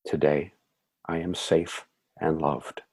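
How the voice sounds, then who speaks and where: A man leading a guided meditation in a soothing, measured way, with calm phrasing and gentle affirmations. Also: very deep voice, slightly rough.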